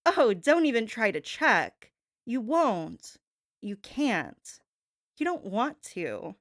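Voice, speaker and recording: A woman with a fairly high voice hosts a gossip-show parody in a sassy, theatrical way. Her pitch swings widely as she stretches key words for emphasis. The sound is close and dry. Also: very animated, a bit bright, dry recording. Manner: sassy, theatrical, drawn-out emphasis, addresses listener as babe. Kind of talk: performed hypnotic gossip monologue, talk-show homage.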